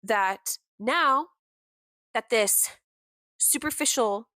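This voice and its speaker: Candid young woman with a warm, deeper voice. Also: extremely slow, no room sound. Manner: candid and impassioned, with frequent "like" fillers and mild swearing. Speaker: podcast host. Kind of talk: casual personal monologue.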